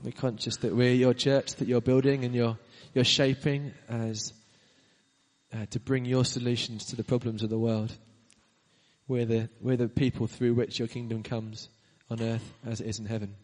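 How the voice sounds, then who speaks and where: A man's voice: mid-range voice, level tone, normal speed, darkish tone. A man introducing the speaker and then leading an earnest spoken prayer in church. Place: church hall. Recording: reverberant church hall.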